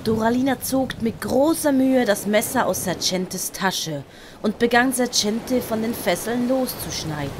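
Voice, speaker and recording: A woman's voice: a little high, animated, a little slow, noisy background. A woman narrating a scripted audio story. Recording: loud music and storm effects behind.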